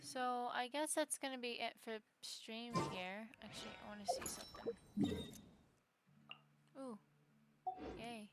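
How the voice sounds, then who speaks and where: A woman's voice: a little high, a little slow, long pauses. A woman chatting casually on a live game stream, rambling and correcting herself as she talks to her chat. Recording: music behind the voice.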